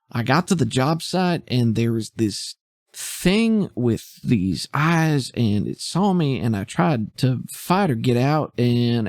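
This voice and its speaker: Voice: medium pitch, a little mellow, no room sound, clean studio sound, lightly acted. A game master voicing a smug, evasive female thief with a slangy, cocky, grumbling way of talking in a tabletop game. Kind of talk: improvised tabletop roleplay.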